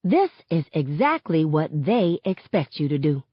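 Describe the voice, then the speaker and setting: Woman as a stern mom scolding her kid, caring underneath, colloquial, lively pitch, audiobook, really dry sound. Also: measured pace, husky, dry recording, dull audio, heavily acted. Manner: admonishing, colloquial delivery.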